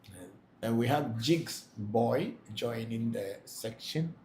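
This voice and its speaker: A man's voice: mid-range voice, animated, slow pace, a little mellow. A man who is a Vodu priest hosting a live question-and-answer session, reading out questions and answering them plainly, often repeating himself for emphasis. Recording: echoey room, faint background noise.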